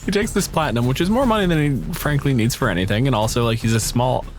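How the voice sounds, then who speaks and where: A man's voice: medium pitch, fast talker, faint music behind. Mid-voiced man running a tabletop game. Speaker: game master. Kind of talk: casual improvised game narration.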